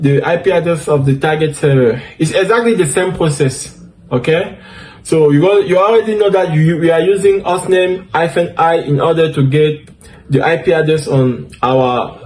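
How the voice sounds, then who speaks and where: French-accented man with a higher voice. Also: normal speed, a little mellow. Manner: talks through each step, many fillers and self-corrections. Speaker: DevOps instructor. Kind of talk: screen-share tutorial walkthrough.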